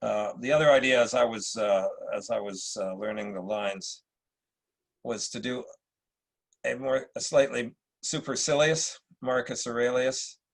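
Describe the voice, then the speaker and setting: Husky man talking hesitantly. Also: medium pitch, unhurried. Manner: hesitant, thoughtful. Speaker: actor. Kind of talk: casual discussion at a table read.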